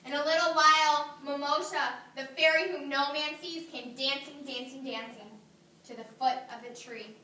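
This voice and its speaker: A woman kindergarten teacher reading a story aloud to her class, animated, praising and asking the children questions. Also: high voice, moderate pace, a little hiss or hum. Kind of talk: read-aloud to young children. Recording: echoey classroom, mic far off.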